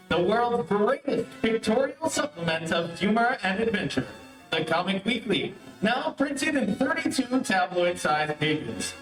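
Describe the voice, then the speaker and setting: Guy hosting like an old radio announcer, formal and theatrical, roomy distant sound with faint music. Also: high voice. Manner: formal, declamatory. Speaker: host and announcer. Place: theater stage. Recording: echoey theater, distant mic, live stream.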